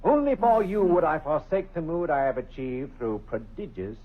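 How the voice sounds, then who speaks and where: A man's voice: a little high, animated, moderate pace, lightly acted. A man playing a pompous, flowery photographer. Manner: grandiloquent, flowery, archaic phrasing. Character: pompous flowery male photographer, theatrical bohemian. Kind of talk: 1940s radio acting. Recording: tinny old radio broadcast.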